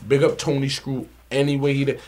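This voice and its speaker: A man's voice: mid-range voice, monotone, a little fast, darkish tone. A man from Bushwick who is a reggae MC, talking casually in an interview with a boastful, streetwise manner that repeats his points. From Bushwick, New York. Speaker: reggae MC for a sound system.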